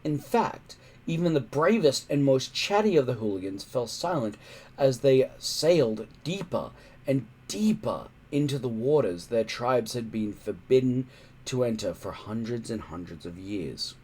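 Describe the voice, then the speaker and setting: A male storyteller reads a children's adventure with a very lively, swooping delivery. He takes his time, pausing and stressing words to build suspense. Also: mid-range voice, slightly rough. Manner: suspenseful, emphatic, with pauses. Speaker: storybook reader. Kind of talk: dramatic read-aloud narration of a children's adventure.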